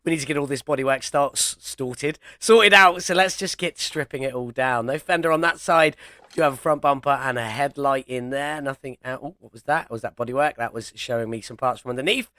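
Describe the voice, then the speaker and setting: A man's voice: medium pitch, fairly quick, sharp tone, no room sound. A young man casually commenting on his own gameplay while ill with a chest infection and a cold, chatty and talking himself through each step.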